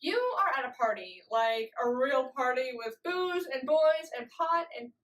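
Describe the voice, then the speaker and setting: A young woman with a high-pitched voice recites slam poetry. Her delivery is dramatic and deliberate, with emphatic pauses between phrases. She is recorded at some distance from the microphone. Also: expressive, fairly bright tone. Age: young adult. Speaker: slam poet. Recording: voice distant from the mic.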